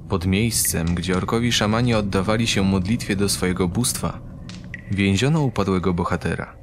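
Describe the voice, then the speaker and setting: A man's voice: sits low, normal speed, noisy background. A man narrating a scripted fantasy audio drama in a dramatic storytelling style. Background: loud background music.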